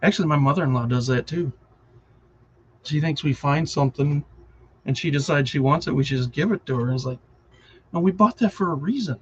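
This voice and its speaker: A man's voice: a little high, fairly quick, pauses often, slightly rough, lo-fi sound. A middle-aged man with a Wisconsin accent, chatting casually and rambling on a live stream, often distracted and chuckling.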